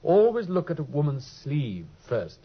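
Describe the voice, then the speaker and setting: A man's voice: on the high side, very animated, measured pace, full character voice. An actor playing a brilliant, aloof male detective in a vintage radio drama, drawling and teasing as he lectures. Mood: wry. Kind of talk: 1950s radio drama acting. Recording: dull, husky old radio recording.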